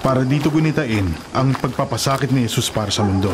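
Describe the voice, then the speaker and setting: A man's voice: mid-range voice, fast, noisy background, lightly acted. A man playing a kindly, devout carpenter and grandfather in a radio drama, speaking earnestly with a Filipino accent. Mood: gentle, earnest.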